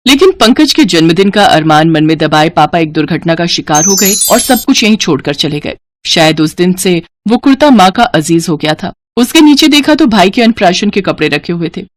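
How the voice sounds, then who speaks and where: A woman's voice: on the low side, big pitch swings, normal speed, few pauses, no room sound. Woman narrating a cartoon family story, dry sound.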